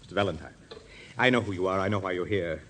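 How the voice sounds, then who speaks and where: A low male voice as a brooding, possessive older brother. He rambles with bitter intensity in vintage radio melodrama style, over faint recording noise. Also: fast, fairly bright tone. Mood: bitter, agitated. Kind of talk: 1940s radio drama acting.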